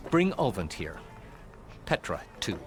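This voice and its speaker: An actor playing a stern, weary town magistrate in a video game, formal, measured and dryly amused. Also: male voice, sits low, heavily acted. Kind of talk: video game cutscene acting.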